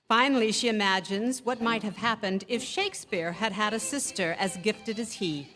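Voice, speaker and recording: A woman's voice: medium pitch, fairly bright tone. A woman reading scripted narration as the narrator of a program. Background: music.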